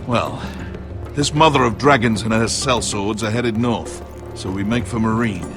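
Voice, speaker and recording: A low, grave old warrior. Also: male voice, a little slow, music in the background. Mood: resolute. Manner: grave, commanding. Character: stern older mentor warrior urging a young man. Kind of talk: dramatic video game dialogue.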